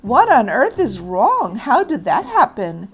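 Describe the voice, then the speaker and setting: A woman's voice: on the high side, huge pitch swings, measured pace, lo-fi sound, faint music behind. A woman voicing a curious, eager young male alligator in a children's storybook, inquisitive and direct. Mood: concerned.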